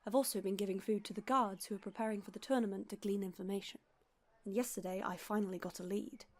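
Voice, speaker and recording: A woman's voice: medium pitch, steady tone, fast, darkish tone, natural voice. A woman playing an earnest, discreet young nun working as an informant in a radio play. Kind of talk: radio play acting, fan production.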